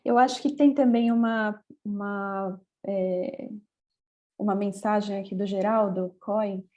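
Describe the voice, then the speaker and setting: A woman's voice: medium pitch, fairly even intonation, unhurried, darkish tone. A woman moderating an online discussion over a video call, with lots of hesitant fillers.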